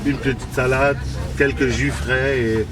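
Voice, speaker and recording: A man's voice: medium pitch, steady tone, a little slow, recorded from a distance. A man from Côte d'Ivoire, speaking casually in an interview and often repeating words as he searches for them. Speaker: restaurant owner being interviewed.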